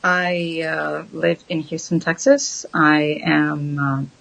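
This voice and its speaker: Woman in her 30s, kinda gravelly, thinks out loud with lots of ums. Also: sits low, steady tone, takes it slowly, lo-fi sound. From Syria. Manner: hesitant, thoughtful, many ums. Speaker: guest. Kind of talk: casual interview chat.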